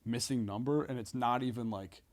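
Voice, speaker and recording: A man chatting casually as a podcast guest, with slangy, halting, meandering explanations. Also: mid-range voice, a loud crowd. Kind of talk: casual chat.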